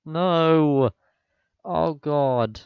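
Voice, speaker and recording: A man's voice: fairly high pitch, drawn-out words, gravelly, clean tone, no echo. A young man reading fan fiction aloud for comedy, with exaggerated, incredulous mocking reactions. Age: young adult. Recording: dull lo-fi microphone.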